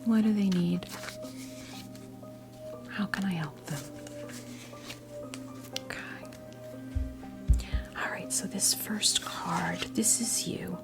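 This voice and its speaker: A woman in her fifties with an American accent gives an ASMR tarot reading in a breathy whisper. Her delivery is very slow and gentle, with a gravelly crackle at the edges. Music plays clearly behind her. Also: mid-range voice, steady tone. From New Jersey, United States. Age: 50s. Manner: soothing, conversational, halting and gentle. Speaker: ASMR creator role-playing a witch tarot reader. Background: soft background music.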